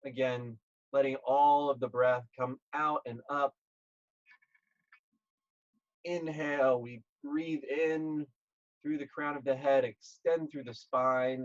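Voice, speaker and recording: A man leading a guided yoga class, speaking gently and calmly in short, measured phrases with pauses. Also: mid-range voice, highs cut off. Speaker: yoga instructor.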